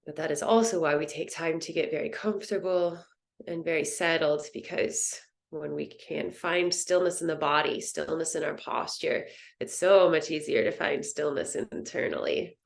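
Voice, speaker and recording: A woman teaching a guided meditation in a soothing, gentle, unhurried manner. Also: low voice, gravelly. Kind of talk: guided yoga nidra meditation.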